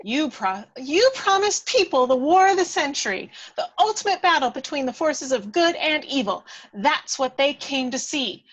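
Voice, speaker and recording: A feisty little fairy, played by a woman with a high, lifted voice. She is bold and theatrical, leaning hard on her words as she scolds and goads in a dramatic read-through. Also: lively intonation, moderate pace, hardly any pauses, low-quality recording. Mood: urgent persuasion. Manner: emphatic, goading, theatrical.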